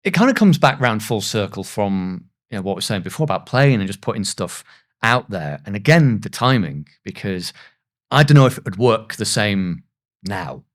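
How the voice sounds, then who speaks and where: A man's voice: lowish voice, very animated, normal speed. A man in his thirties, a voice actor, telling anecdotes in a casual, self-deprecating way as a podcast guest. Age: 30s.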